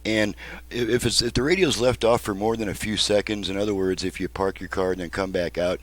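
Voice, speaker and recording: A man's voice: lowish voice, fairly even intonation, brisk, a bit bright, no echo. A man giving a casual hands-on demonstration as a repair technician, explaining methodically in plain words, step by step. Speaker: radio repair technician, video host.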